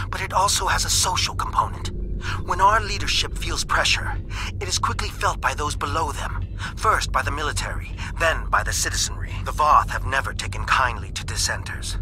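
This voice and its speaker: An actor playing an anxious, principled alien scientist in a video game, speaking in an earnest, measured, confiding way. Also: male voice, fairly high pitch, lightly acted, rough recording. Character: anxious male alien scientist, a principled dissident leaking secrets. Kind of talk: scripted game voice acting, recorded message monologue. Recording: music behind the voice. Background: music.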